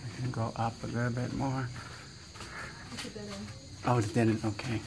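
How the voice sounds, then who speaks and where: A travel vlogger talks casually and slowly in short remarks, at a middling pitch. The voice is caught on a handheld recorder outdoors, with clear ambient noise and insects around it. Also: level tone. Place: outdoors on a mountain temple stairway and summit. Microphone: handheld phone or camera held by speaker.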